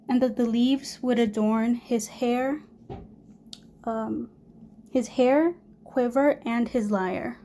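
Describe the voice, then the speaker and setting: A young woman with a hoarse voice from a cold, presenting a class project from prepared notes with small restarts. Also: fairly high pitch, warm tone. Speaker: student presenter.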